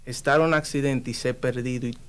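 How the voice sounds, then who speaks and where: A man's voice: medium pitch, fairly even intonation, a little fast, smooth. A man speaking with passion and emphasis about his faith in a conversation. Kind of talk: earnest conversational preaching.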